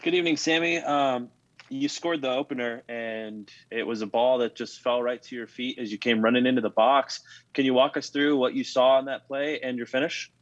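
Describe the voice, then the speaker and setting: A man's voice: mid-range voice, fairly even intonation, normal speed. A man, a sports radio reporter, asking questions at a postgame press conference. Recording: dull, lo-fi remote audio.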